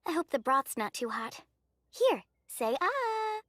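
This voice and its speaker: Very high-pitched, gentle village girl. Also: sing-song, slow pace, dry recording, clean studio sound, exaggerated voice. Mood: gentle, caring. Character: gentle, caring, shy young mountain village girl. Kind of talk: English anime dub acting.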